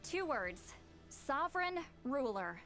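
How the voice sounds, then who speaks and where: A woman's voice: sits high, big pitch swings, slow pace, sharp tone, full character voice. A woman playing a knowledgeable, self-assured researcher in a video game, explaining things in a confident, slightly wry way. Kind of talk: scripted video game dialogue. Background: game music.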